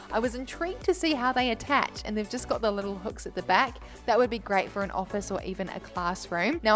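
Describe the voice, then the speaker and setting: Chatty woman gushing over shop finds. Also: fairly high pitch. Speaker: vlogger.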